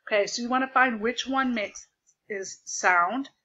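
A woman teacher projecting her voice loudly as she explains a lesson step by step over a video call, repeating the key points. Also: a little high, measured pace, highs cut off. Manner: loud projected voice. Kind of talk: remote classroom lesson. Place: home desk with a document camera. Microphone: computer mic on a video call.

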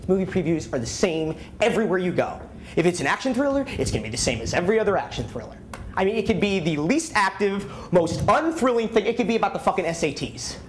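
A young man doing a stand-up comedy set on a club stage, mocking his subjects in an animated way and slipping into characters, speaking into a handheld mic. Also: sits high, quick pace, noisy background. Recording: echoey club with laughing audience.

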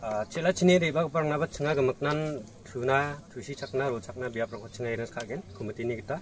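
A man's voice: mid-range voice, fast, far from the mic. A man in his thirties, an event organizer, answering interview questions outdoors by a pond in a hesitant way, often opening with "ah". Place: outdoors by a rural fish pond.

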